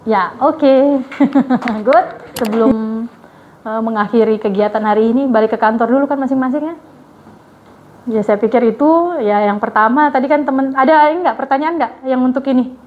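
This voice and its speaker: Brief: female anchor coach, fairly high voice, loose and chatty, lo-fi dull sound. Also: moderate pace, slight background noise. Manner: chatty, many fillers and restarts. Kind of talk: casual coaching talk.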